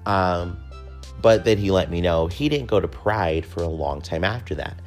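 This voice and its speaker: A man with a deep, low voice hosts a personal podcast. He speaks in a casual, candid way, as if chatting directly with his listeners, and swears freely. Faint music sits behind his voice. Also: normal speed. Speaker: podcast host.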